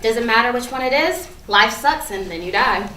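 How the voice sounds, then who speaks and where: High, bright, sarcastic young best friend played by a woman. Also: lively intonation, moderate pace. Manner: sarcastic, emphatic, needling. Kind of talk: staged play reading. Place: live staged reading in a room. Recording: echoey room, distant mic, faint noise.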